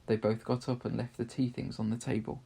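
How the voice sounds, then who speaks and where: Male storybook reader, gravelly and slightly rough, expressive narration. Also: mid-range voice, brisk.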